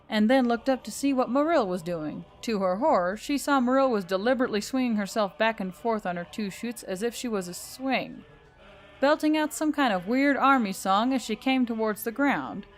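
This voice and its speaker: A woman reading scripted fiction as a storyteller, in a dramatic narrating style. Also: mid-range voice.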